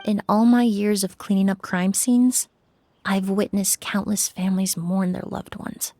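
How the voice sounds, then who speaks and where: A composed young perfumer from a game, voiced by a woman, with a mid-range voice. She speaks calmly and deliberately, reasoning each point through. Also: mid-range voice, dry recording, lightly acted. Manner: calm, measured, reasoning. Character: composed young woman perfumer and crime-scene cleaner, rational.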